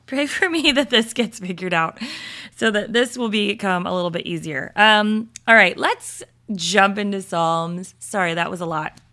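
A woman hosting a faith podcast, slightly raspy this morning, talking in a chatty, upbeat way with laughs and fillers. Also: mid-range voice, moderate pace, bright, forceful tone. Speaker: podcast host. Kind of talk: casual devotional podcast talk.

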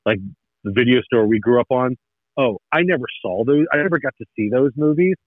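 A man's voice: mid-range voice, brisk, dry recording. A man in his thirties chatting casually, rambling and nostalgic, often restarting his sentences. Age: 30s. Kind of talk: casual chat. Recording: tinny phone line.

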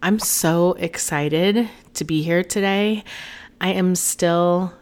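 A woman's voice: fairly low pitch, slow, darkish tone, clear, resonant tone. A woman hosting a solo podcast as a business coach, talking in a chatty, encouraging way while congested from a cold.

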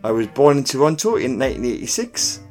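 Animated male quiz host over music. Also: medium pitch, quick pace. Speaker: pub quiz podcast host. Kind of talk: scripted quiz hosting, reading questions and clues. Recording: music bed under the voice. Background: background music.

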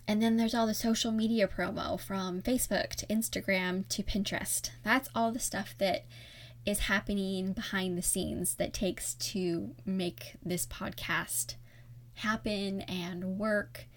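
A woman hosting her own podcast, talking in a candid, conversational and reflective way with a voice listeners call soothing. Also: medium pitch. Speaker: podcast host. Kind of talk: solo podcast talk.

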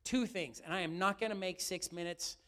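High-voiced preacher, a bit raspy. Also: male voice, fairly quick. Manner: lively, asks the room questions often. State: slightly raspy from yelling. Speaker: pastor. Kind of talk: sermon.

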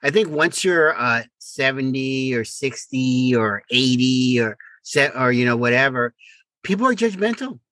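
Guy podcast cohost joking around, super animated bright voice, dry close sound. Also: medium pitch, unhurried, no echo. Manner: playful, joking. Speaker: podcast co-host. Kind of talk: casual banter.

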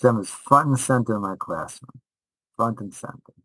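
A man with a bright, forceful voice and a close, dry sound talks casually in an interview. He is animated and emphatic, restating short phrases to drive them home, like a teacher making his point. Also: lowish voice, normal speed, no echo, rough recording. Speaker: rabbi and high school teacher.

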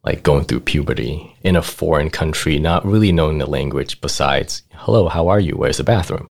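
A man's voice: rumbling low, moderate pace, vocal fry, a little mellow. A man in his thirties who grew up in Thailand, a finance manager telling his career story in a laid-back way, laughing often and saying "like" and "right?" a lot. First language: Thai. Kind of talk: casual interview storytelling.

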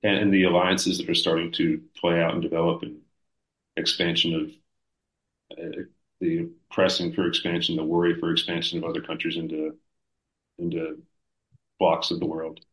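Low-voiced young man in a roomy room. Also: completely flat delivery, normal speed, pauses often, a bit bright. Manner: hesitant, thinking aloud with restarts. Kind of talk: casual podcast conversation.

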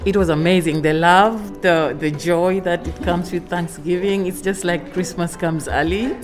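A woman from Kenya, a culinary student, chatting eagerly and laughing now and then. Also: on the low side. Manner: warm, eager chat with laughs.

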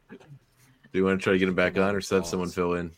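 A man talks casually with fellow podcasters on a live stream. His voice is on the low side and recorded dry, with no room sound. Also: little pitch movement, moderate pace, no echo. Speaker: podcast cast member.

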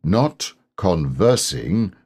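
A man voicing a gloomy, aggrieved donkey in a storybook, mournful, deadpan and drawn-out. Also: low voice, breathy edge, full character voice. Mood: sour, pedantic. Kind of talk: children's storybook character reading.

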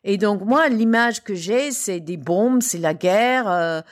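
A woman's voice: medium pitch, lively intonation, slow. A British woman hosting a podcast interview in French, chatty and upbeat, laughing often. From Britain. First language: English. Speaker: podcast host.

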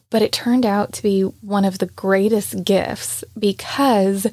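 A Southern woman in her thirties hosts her own podcast in an earnest, chatty solo monologue. Her mid-pitched voice has a gravelly fry. The recording is dry and close to the mic. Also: no echo. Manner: warm, earnest, chatty with frequent y'all. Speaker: podcast host.

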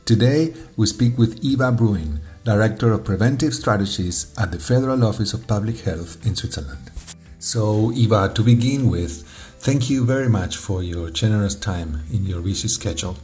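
A man hosting an interview, speaking in a measured, polite way. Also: lowish voice, animated, darkish tone. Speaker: interviewer, host. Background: faint music.

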